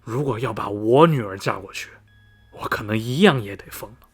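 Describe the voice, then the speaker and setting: A man's voice: fairly low pitch, normal speed, slightly rough. An actor playing a gossipy middle-aged small-town man in a radio drama, skeptical yet repelled, sighing and drawing out his phrases dramatically. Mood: uneasy, disgusted. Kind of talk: radio drama acting, animated storytelling.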